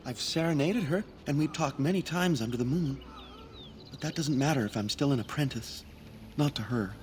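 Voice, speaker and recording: A man's voice: medium pitch, moderate pace, a little mellow, slight background noise, lightly acted. A man playing a timid, lovesick young elf hunter apprentice in a video game, hesitant, stammering and easily frustrated. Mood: doubtful. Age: young adult. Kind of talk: dramatic game dialogue.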